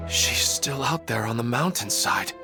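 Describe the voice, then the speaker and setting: A man's voice: mid-range voice, animated, unhurried, creaky, music in the background. A man playing a bewildered, devoted father in an English game dub, worried and hesitant. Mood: troubled.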